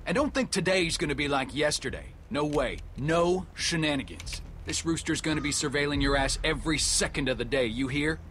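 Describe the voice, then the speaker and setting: A cocky, domineering American guard played by a man in a video game. His voice sits a little high, and he barks orders at captives with mocking swagger. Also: a little fast, hardly any pauses, a bit bright, slight background noise, lightly acted. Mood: mocking, bossy. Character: swaggering bullying male guard barking at captives.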